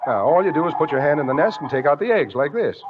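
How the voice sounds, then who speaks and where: A man's voice: on the high side, big pitch swings, quick pace. A man playing a cocksure, bumbling American farmer husband in an old-time radio comedy, confidently lecturing and hemming. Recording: dull, echoey old radio recording with faint noise.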